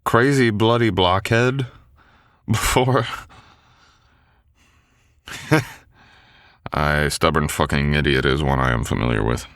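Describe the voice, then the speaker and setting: A man's voice: very deep voice, takes it slowly, pauses often, fairly bright tone, dry recording, studio quality, exaggerated voice. A man voicing a wounded medieval knight in a boyfriend roleplay, gallant and stubborn, speaking in teasing old-fashioned courtly phrases. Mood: amused. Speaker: voice actor. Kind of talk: boyfriend ASMR roleplay audio.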